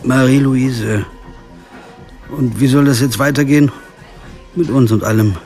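An actor playing a wry, weary lawyer in a radio crime drama, narrating and speaking in a dry, laconic way. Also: male voice, medium pitch, unhurried, a little mellow, lightly acted. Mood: questioning. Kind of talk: first-person radio drama narration and dialogue. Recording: music behind the voice.

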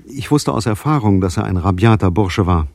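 Husky, low-voiced private detective. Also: male voice, expressive, fairly quick, a little mellow, no room sound, clean studio sound. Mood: terse narration. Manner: matter-of-fact, controlled. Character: shrewd, cool-headed male private detective narrating his case. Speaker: lead detective and narrator. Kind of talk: 1980s German radio play acting and first-person narration.

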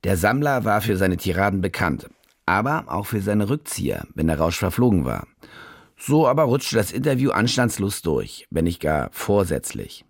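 A man's voice: low voice, very animated, moderate pace, no room sound, heavily acted. An actor playing a cynical, self-satisfied male war reporter, telling his story in terse, wry radio-play narration. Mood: rueful. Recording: studio radio play with music bed. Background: music.

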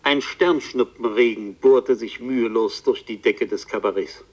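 A man's voice: medium pitch, moderate pace, dull audio, lightly acted. An actor playing a rueful bookseller who tells his own story as a radio play narrator, wry and earnest. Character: rueful male bookseller recounting his own strange adventure.

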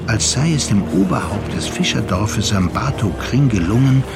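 Low-voiced man narrating over loud music. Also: expressive, moderate pace. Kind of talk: dramatic literary narration for a radio play. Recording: loud music bed under the voice.

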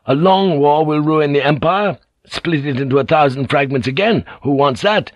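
A man voicing a young samurai son who is excitable and eager, words tumbling out in a rush. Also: on the high side, no echo, pitched above the performer's own voice, close to an everyday voice. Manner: eager, bursting, rushing.

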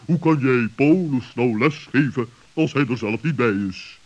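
A man playing a stern, sceptical, pompous owl in a children's radio play, questioning in a measured way. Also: medium pitch, natural voice. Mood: scoffing, dismissive. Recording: dull vintage radio play recording.

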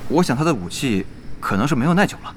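An actor playing an eager, deferential gamer guild organizer in an audio drama, chatty and excitable. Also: male voice, mid-range voice, fast, a little hiss or hum, natural voice. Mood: businesslike.

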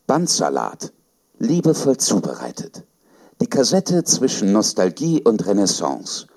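A man with a low-pitched voice reads a scripted radio feature title. His delivery is extremely animated, with wide swings in intonation. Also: unhurried, breathy edge.

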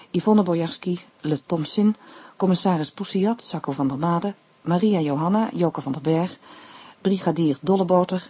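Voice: medium pitch, warm tone, slightly rough. An announcer reading the closing credits of a radio serial in a scripted, formal style.

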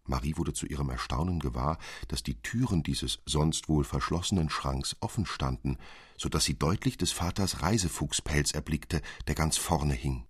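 A man narrating a literary fairy tale as an expressive, measured storyteller. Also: extremely low pitch, husky, no echo, studio quality.

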